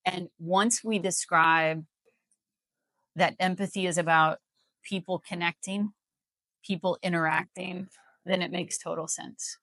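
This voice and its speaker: A woman's voice: fairly low pitch, fairly even intonation, unhurried, long pauses, a bit bright, no echo, rough recording. An American woman explaining things in a live interview as a guest, in an emphatic, persuasive manner. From Missouri, United States. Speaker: healthcare company CEO, interview guest.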